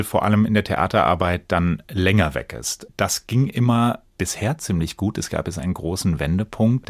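Low-voiced german guy around 40, standard accent, chatting thoughtfully in an interview, dry studio sound. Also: no echo. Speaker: actor, interview guest. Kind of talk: casual reflective interview talk.

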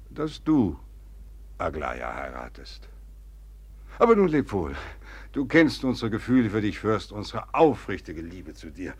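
A flustered, fatherly old general in a classic radio drama. His low voice rises and drops dramatically. He rambles, circles back and interjects as he confides. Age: older. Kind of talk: German radio play acting.

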